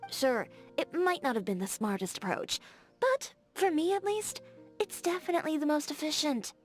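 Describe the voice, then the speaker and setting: Girl voice actor doing a confident blunt young designer lady from a video game, teasing, lively intonation, music under it. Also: high voice, normal speed. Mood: frank, firm. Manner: direct, self-assured, teasing. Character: blunt, confident young woman fashion designer, playful and competitive. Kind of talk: scripted video game character dialogue. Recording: background music.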